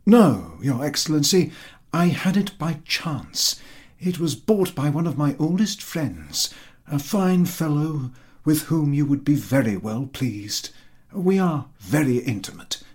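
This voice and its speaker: A man's voice: on the high side, very animated, a little slow, a little mellow, slightly rough, voice pushed up, heavily acted. A man voicing an eager, boastful landowner who fawns effusively on his superior in a story reading. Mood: boastful, delighted. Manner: obsequious, effusive, over-polite.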